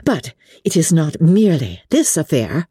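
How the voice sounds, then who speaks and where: Storybook heroine, acted by a woman: spirited young gentlewoman, indignant and accusing, lifted swooping pitch, clean dry sound. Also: big pitch swings, a little slow, breathy edge, no echo, exaggerated voice. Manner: emphatic, formal, cutting.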